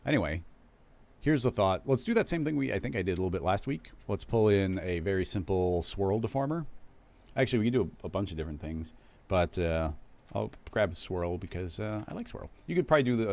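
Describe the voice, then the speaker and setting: A man hosting a live stream, casually talking viewers through a software tutorial, rambling and correcting himself as he goes. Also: sits low. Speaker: live stream host teaching 3D animation software.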